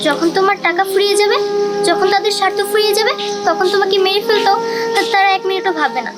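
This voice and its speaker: Woman playing a very high-voiced, pleading young wife. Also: fast talker, over loud music. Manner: earnest, pleading explanations. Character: long-suffering, devoted young wife, gentle and pleading. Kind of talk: cartoon drama acting.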